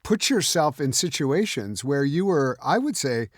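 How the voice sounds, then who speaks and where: A man hosting a podcast interview, asking questions with halting, searching phrasing. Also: on the high side, sing-song, dry recording, clean studio sound. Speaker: podcast host.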